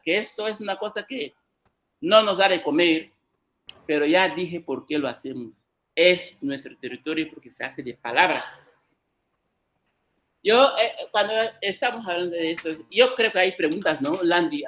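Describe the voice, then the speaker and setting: A man's voice: on the high side, a bit bright. Emphatic man from Equatorial Guinea lecturing. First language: an African language of Equatorial Guinea. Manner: emphatic, argumentative, addresses the audience directly. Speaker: guest speaker at a cultural conference. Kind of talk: unscripted public lecture.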